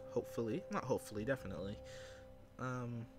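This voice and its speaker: A man's voice: mid-range voice, quick pace, music in the background. A man giving casual let's play commentary, rambling and thinking aloud with casual swearing. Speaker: gamer recording a let's play. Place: indoors at a computer.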